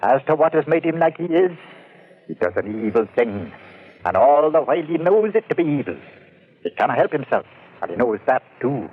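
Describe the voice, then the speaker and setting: An actor playing a canny, loyal manservant in an old time radio drama, speaking emphatically in quaint turns of phrase. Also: male voice, medium pitch, very animated, moderate pace, lightly acted. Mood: grim. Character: canny, loyal manservant, grimly urgent and superstitious. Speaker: supporting actor in a radio play. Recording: thin, phone-like old radio recording.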